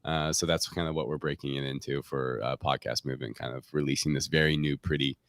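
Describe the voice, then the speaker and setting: A man with a deep voice talks casually as a guest explaining his company's product. His phrasing is informal, padded with loose hedging phrases. The sound is dry, with very little room in it. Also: fairly even intonation, fast, fairly bright tone, dry recording. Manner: informal, hedging with frequent "like" and "kind of". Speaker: tech company representative, podcast guest. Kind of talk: casual product talk in an interview. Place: remote recording on a live stream call.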